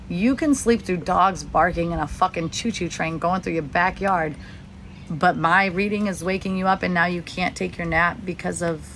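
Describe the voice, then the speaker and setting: A woman's voice: lowish voice, a bit bright, a little hiss or hum. Candid, rambling young American woman. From United States. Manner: rambling and candid, swears freely, many likes and ums. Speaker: livestream host. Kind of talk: casual livestream chat. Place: outdoors at a beach or lake.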